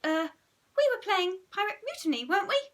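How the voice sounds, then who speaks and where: A woman voicing a timid goody-goody little boy in a read-aloud storybook, shrieking high and backtracking nervously. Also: huge pitch swings, brisk, a bit bright, exaggerated voice.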